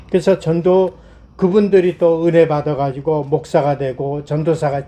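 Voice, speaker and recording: A middle-aged man from South Korea preaching a Sunday sermon as a pastor, explaining point by point in a voice slightly hoarse from a cold. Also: fairly high pitch, dark tone.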